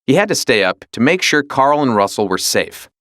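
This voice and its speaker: Animated male storybook narrator. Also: medium pitch, moderate pace, no echo, clean studio sound. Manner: expressive, dramatic storytelling. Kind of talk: scripted children's read-along narration.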